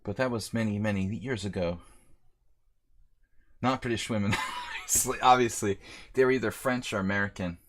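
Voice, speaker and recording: A man's voice: on the low side, normal speed, long pauses, fairly bright tone. A man streaming a chess simul, chatty and self-deprecating, thinking aloud over his moves. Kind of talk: live-stream commentary.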